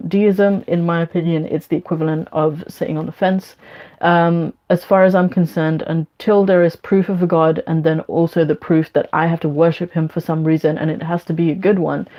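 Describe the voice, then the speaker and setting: A woman with a London accent hosting a casual question-and-answer session, speaking in a frank, measured way. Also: fairly low pitch. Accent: London English. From London, UK; born in Bangladesh. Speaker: online Q&A host. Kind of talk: casual spoken Q&A, reading questions and giving opinions. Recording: dull, lo-fi sound.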